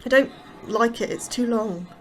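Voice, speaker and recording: A woman's voice: fairly high pitch, normal speed, soft background music, lightly acted. A woman playing an anxious, wary scientist newly recruited in a fiction podcast, speaking hesitantly and full of uncertain questions. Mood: reluctant, flat. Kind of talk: audio fiction podcast acting.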